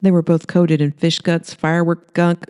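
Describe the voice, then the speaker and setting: A woman's voice: on the low side, flat delivery, normal speed, warm tone, clean tone. A woman reading scripted fiction aloud as a storyteller. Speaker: author reading aloud, host.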